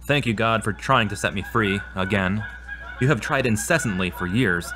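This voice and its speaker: A man's voice: lowish voice, normal speed, bright, forceful tone. A man acting as a troubled, self-doubting therapy patient in a scripted audio drama, speaking in an earnest, confessional way. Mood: humble, penitent. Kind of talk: scripted audio drama dialogue and spoken prayer.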